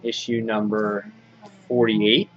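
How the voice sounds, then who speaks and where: Guy with a lowish voice doing a casual understated vlog, kinda halting, muffled audio w some noise behind. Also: level tone, fairly bright tone. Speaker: vlog host showing a comic book collection. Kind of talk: casual show-and-tell commentary. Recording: dull lo-fi audio, clear background noise.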